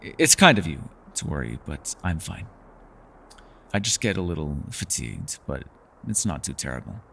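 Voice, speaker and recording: A man voicing a reclusive, centuries-old elf in a fantasy ASMR roleplay, gentle, wry and secretive, with measured formal phrasing. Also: extremely low pitch, sing-song, gravelly, a little hiss or hum, exaggerated voice. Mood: reluctant, grateful. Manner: measured, formal phrasing, hesitant and softly teasing. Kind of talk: fantasy ASMR roleplay acting, intimate one-sided dialogue.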